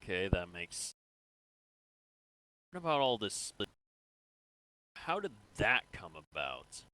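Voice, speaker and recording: A man playing a measured military interrogator in a sci-fi game role-play, asking probing, deliberate questions. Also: fairly low pitch, huge pitch swings, bright, forceful tone, no echo, heavily acted, rough recording.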